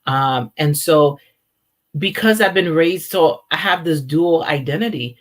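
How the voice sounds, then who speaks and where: A woman's voice: sits low, a little slow. A woman in her forties, born in Haiti and raised in America, giving an earnest, reflective faith talk with frequent ums and restarts. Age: 40s. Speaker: speaker opening a teaching series. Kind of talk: informal sermon-style teaching.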